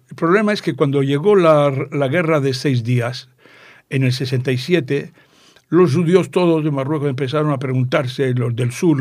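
An older man with a northern Moroccan Judeo-Spanish accent and a fairly high-pitched voice, recorded dry. He tells things conversationally and playfully, wandering off to explain dialect words. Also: darkish tone, dry recording. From Tangier, Morocco. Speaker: interview guest, author. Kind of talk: conversational storytelling.

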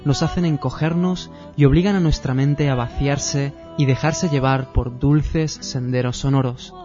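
Male radio host, calm and measured. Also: medium pitch. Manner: measured, poetic introduction, polished announcer delivery. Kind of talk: radio interview. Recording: dull radio sound with music behind.

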